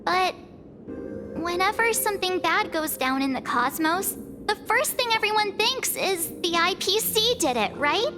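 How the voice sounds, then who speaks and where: A cute, childlike female companion from a video game. The voice is tiny and very high, with slow, careful phrasing. She sounds earnest, curious and slightly wary, over a clearly audible music bed. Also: animated. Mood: worried, wondering. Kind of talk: video game character dialogue.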